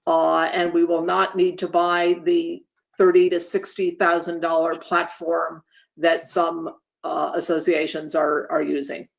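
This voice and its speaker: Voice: medium pitch, gravelly. Dull-sounding webinar host giving formal updates. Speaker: association leader hosting a meeting. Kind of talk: meeting update, semi-formal announcements. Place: online webinar call. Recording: dull, lo-fi online meeting audio.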